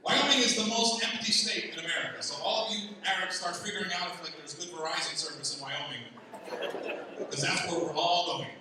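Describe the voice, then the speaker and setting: High-voiced man in an echoey hall. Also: a little fast. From Palestinian. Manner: animated, teasing the crowd, high bursts when excited. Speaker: stand-up comedian. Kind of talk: stand-up comedy set at a gala. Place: large banquet hall. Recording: far-off mic in an echoey banquet hall with noise.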